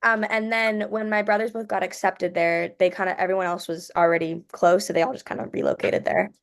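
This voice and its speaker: Casual American female, mid-pitched with noticeable vocal fry, conversational delivery on a video call. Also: flat delivery, brisk. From Texas, United States. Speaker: actor attending a table read. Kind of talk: casual chat.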